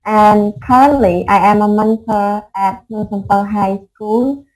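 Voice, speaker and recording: A woman from Cambodia, a high school mentor, politely introducing herself on a video call. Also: fairly even intonation, a little mellow, faint music behind, low-quality recording. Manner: polite, measured self-introduction.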